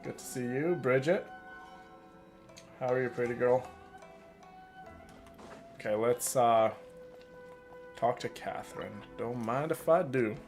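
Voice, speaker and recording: A man's voice: medium pitch, takes it slowly, long pauses, a little mellow. A man chatting casually and familiarly to his viewers while streaming a video game. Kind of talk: casual live stream chat. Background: game music.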